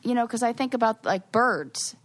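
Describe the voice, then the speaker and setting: A woman's voice: a little high, lively intonation, moderate pace, no room sound. A woman co-hosting a podcast in casual chat who rambles and trails off mid-thought. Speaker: podcast co-host.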